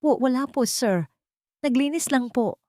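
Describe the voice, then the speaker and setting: Woman doing scared old handyman guy in an audio drama, stammery, super humble and pleading, pitch jumps up. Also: animated, a little slow, warm tone, no echo, studio quality. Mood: panicked. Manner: stammering, deferential. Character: nervous, pleading elderly male maintenance worker, servile and guilt-ridden.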